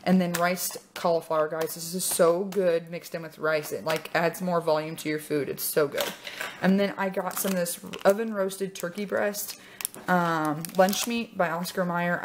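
A young woman talks through her groceries in a casual, chatty way, with frequent "um" pauses. Her voice sits a little low. It was recorded on a camera mic at a kitchen table, with a faint hiss behind her. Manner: chatty, upbeat, many "um" pauses. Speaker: vlogger showing a grocery haul. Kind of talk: casual chat. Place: home kitchen, indoors.

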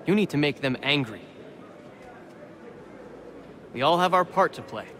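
A man's voice: a little high, expressive, fast, fairly bright tone, a little hiss or hum. A man playing an earnest, crafty imprisoned forger in a video game, talkative and emphatic as a schemer. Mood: matter-of-fact urging. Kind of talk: dramatic video game dialogue.

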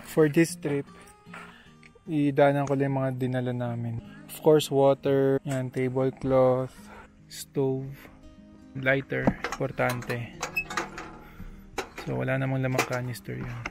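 Man, gravelly low-energy voice, flat delivery, listing gear outdoors, music underneath. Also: measured pace, long pauses. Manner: listing gear item by item, explaining each. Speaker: family camping vlogger. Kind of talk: casual show-and-tell vlog. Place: outdoor forest campground.

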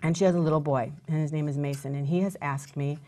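Chatty woman with a low voice. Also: fairly even intonation, quick pace, warm tone. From rural Wisconsin, USA. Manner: chatty, rambling with restarts and self-deprecating laughs. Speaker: guest craft presenter. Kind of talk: casual chat while demonstrating a craft. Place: indoor TV studio set.

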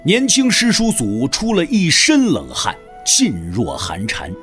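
A man's voice: on the high side, wildly swooping pitch, measured pace, a bit bright, faint music behind. A man narrating a wuxia audio drama in a dramatic, scripted storytelling style.

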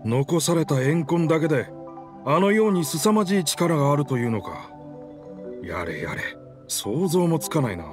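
A man's voice: on the high side, sing-song, moderate pace, over music. A man voicing a game character, the proud, wistful spirit of an old pirate warship, with rugged, old-fashioned, theatrical speech. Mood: shaken, awed.

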